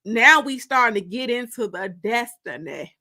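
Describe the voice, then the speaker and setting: High, bright-voiced woman chatting on livestream. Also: lively intonation, moderate pace. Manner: gossipy, informal, repeats phrases for emphasis. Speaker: host of a live-streamed story time. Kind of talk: casual livestream chat.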